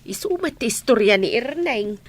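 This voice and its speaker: A woman's voice: fairly high pitch, expressive, normal speed. A woman acting a kindly, firm, fair-minded older coworker in an Ilocano radio comedy drama. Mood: friendly.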